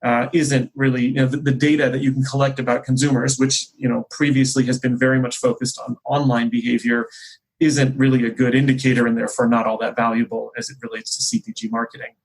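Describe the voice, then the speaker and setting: A man talks through business points conversationally, in a measured way, often pausing mid-phrase to restate himself. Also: mid-range voice, gravelly, slightly rough, low-quality recording. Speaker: company executive, interview guest. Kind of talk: conversational business discussion, explanatory.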